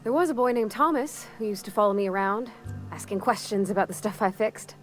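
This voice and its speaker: A woman's voice: mid-range voice, fairly quick, soft background music, close to an everyday voice. An actress playing an earnest, shy young woman mechanic in a frontier colony video game, speaking in a hesitant, folksy, polite way. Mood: nervous, earnest.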